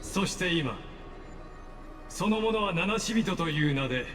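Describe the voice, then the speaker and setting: A man's voice: sits high, animated, brisk, a bit bright. An actor playing a courteous, theatrical male narrator in a game, with formal, polite delivery. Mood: solemn, theatrical. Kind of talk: scripted Japanese game voice acting. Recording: long hall reverb over music.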